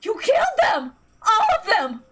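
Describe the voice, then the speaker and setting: A woman voicing a horrified, accusing married mother with shrill, impassioned outbursts in a visual novel reading. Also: wildly swooping pitch, measured pace, a bit bright, faint music behind, heavily acted. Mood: anguished, accusing.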